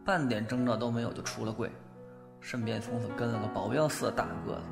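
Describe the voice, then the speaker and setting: Radio drama role: flustered, confused young man played by a male actor, creaky voice, music underneath. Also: mid-range voice, steady tone, fast, close to an everyday voice. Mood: indignant. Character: flustered, excitable young man confused about his feelings. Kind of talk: Mandarin audio drama acting.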